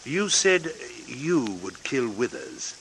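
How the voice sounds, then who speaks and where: A man playing a cold, murderous father in an old radio drama, giving measured, commanding threats. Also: mid-range voice, big pitch swings, vocal fry, a bit bright, full character voice. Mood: quiet menace. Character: cold, resourceful murderous father coolly blackmailing at gunpoint. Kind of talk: 1940s radio acting. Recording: noisy vintage radio drama recording.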